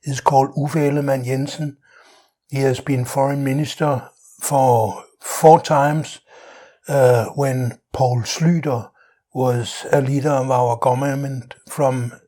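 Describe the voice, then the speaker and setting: Slow-paced Danish man giving political commentary. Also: medium pitch, fairly even intonation, warm tone. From Denmark. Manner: halting delivery with non-native English phrasing. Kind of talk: opinionated political monologue.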